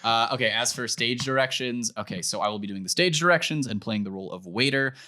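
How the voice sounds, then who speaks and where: A man's voice: medium pitch, fast, sharp tone. A man chatting casually as a podcast guest and comedy writer, rambling and self-deprecating.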